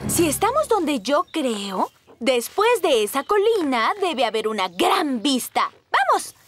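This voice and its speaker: A cheerful cartoon firefighter, a capable young woman with a Latin American Spanish accent. Her voice is very high and lively, and she takes her time over the words. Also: slow, soft background music, heavily acted. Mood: earnest, chatty. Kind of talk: animated children's show dub.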